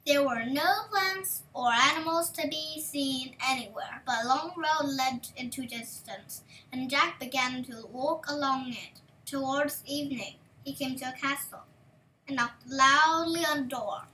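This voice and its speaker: A woman's voice: high-pitched, measured pace, bright, forceful tone, distant mic, slight background noise, rough recording. A young female reader narrating a fairy tale aloud from a book. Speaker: storybook reader.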